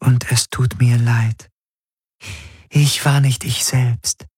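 A man voicing a sorrowful, devoted female apparition with a breathy, hushed, halting delivery, in an audiobook story. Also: medium pitch, level tone, dry recording, clean studio sound, voice pushed up. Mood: regretful, afraid. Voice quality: breathy, whispery. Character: fading glassy dream apparition, female, sorrowful devoted servant.

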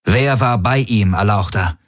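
A man's voice: lowish voice, measured pace, no room sound, clean studio sound. A man voicing a commanding, formal female alien guard captain with a barking delivery in an audiobook. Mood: stern, formal. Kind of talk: audiobook character acting.

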